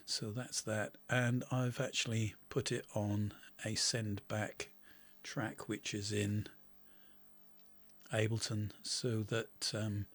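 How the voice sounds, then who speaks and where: A middle-aged man, a bit hoarse from a cold, explaining synth settings in a relaxed tutorial, full of ums and restarts. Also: on the low side, takes it slowly. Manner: relaxed, talks while clicking, many ums and restarts. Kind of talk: casual screen-recorded software tutorial. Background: faint synth music.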